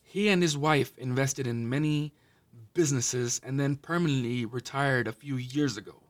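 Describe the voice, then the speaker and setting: A man's voice: mid-range voice, moderate pace. A man hosting a casual interview with his father, asking questions in a chatty, friendly way and sometimes switching into Urdu. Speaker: podcast host.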